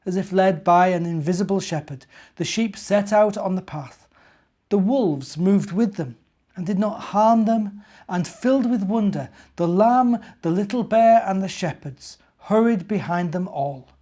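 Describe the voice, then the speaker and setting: Higher-voiced man telling stories to children. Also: a little slow. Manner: expressive, simple child-directed delivery with rhetorical questions. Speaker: minister reading stories to young children. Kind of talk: children's storytelling and gentle chat.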